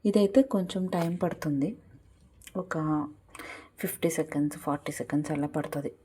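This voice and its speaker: Slightly husky, low-pitched woman. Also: normal speed, mellow. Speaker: tutorial host. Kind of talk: casual step-by-step screen tutorial, mixing in English words.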